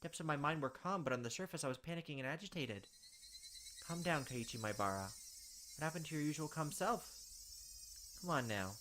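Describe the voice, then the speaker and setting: A man reading a visual novel's text aloud as a let's play narrator, dramatic, with quick asides of his own. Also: on the high side, expressive, a bit bright, slight background noise.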